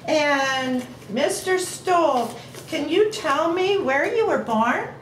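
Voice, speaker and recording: A woman's voice: high voice, animated, slow. A woman interviewing an elderly man at home for an oral history, asking plain, prompting questions. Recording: echoey room with faint hiss.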